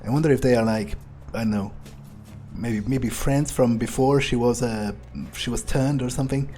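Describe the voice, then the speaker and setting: A man's voice: medium pitch, steady tone, normal speed, dark tone, soft background music, natural voice. A man voicing a cocky, cruel, foul-mouthed vampire as in-character commentary on a video game, drawling and sardonic as he muses aloud. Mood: musing, contemptuous. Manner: drawling, sneering, musing.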